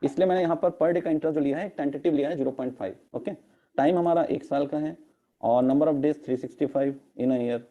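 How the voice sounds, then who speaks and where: Hoarse man, slightly high voice, explaining on a muffled online-meeting line. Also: fairly quick. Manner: repeated "friends" address, mixes English terms into Hindi. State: hoarse throat, coughing. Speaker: crypto earnings explainer. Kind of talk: screen-share walkthrough of a spreadsheet. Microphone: online meeting audio.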